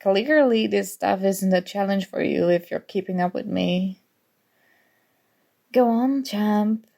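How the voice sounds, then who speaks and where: A young woman playing a sarcastic, competitive college student in an ASMR roleplay, teasing and exasperated, with a creaky voice. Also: mid-range voice, slow, mellow. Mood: sarcastic dismissive. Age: young adult. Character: snarky competitive college student, grudgingly fond academic rival. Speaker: audio roleplay voice actor.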